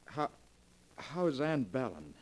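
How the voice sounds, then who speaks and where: An actor playing a lovestruck, easily shaken husband in a dramatic, emphatic 1940s radio acting style. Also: male voice, mid-range voice, big pitch swings, slow pace, slightly rough, full character voice. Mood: disbelieving, desperate. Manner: dramatic, emphatic, repeats himself in disbelief. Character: lovestruck husband, growing shaken and stubbornly disbelieving. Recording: noisy vintage 1940s radio recording, organ behind.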